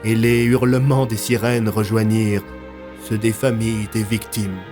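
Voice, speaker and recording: A man's voice: fairly low pitch, little pitch movement, moderate pace. A man narrating a scripted audio fiction in a dramatic storytelling style. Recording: loud music bed. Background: music.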